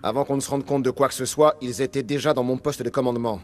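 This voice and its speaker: An actor playing a weary, gruff security captain in a video game, speaking in a blunt, dry, colloquial way. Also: male voice, mid-range voice, fairly even intonation, quick pace, a little mellow, soft background music, lightly acted. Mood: weary, sardonic. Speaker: guard captain.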